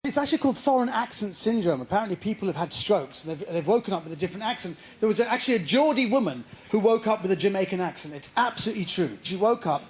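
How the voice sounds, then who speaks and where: High-pitched male stand-up comic. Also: expressive, quick pace, hardly any pauses. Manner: mocking, anecdotal. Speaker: stand-up comedian. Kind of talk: live stand-up comedy. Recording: noisy live stage recording.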